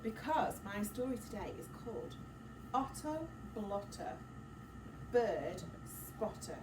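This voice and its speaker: A woman's voice: mid-range voice, sing-song, takes it slowly, roomy sound. Female primary teacher, simple explanations and questions for little ones, recorded at a distance in a noisy room. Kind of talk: interactive story-time lesson for young children. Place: echoey classroom with children.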